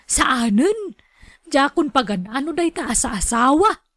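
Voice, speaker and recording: A woman's voice: high-pitched, very animated, a little slow, a bit bright, no echo, exaggerated voice. An actress with an Ilocano accent playing a modest, good-humored old mother in a radio drama, self-deprecating and exclamatory. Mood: amused, modest.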